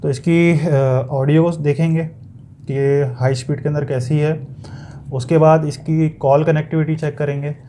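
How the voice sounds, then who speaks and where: A man's voice: fairly high pitch, flat delivery, measured pace, warm tone, a little hiss or hum. A man with a Pakistani accent giving a casual, explanatory product review, feeling for his words and sometimes repeating them, as in an unboxing video.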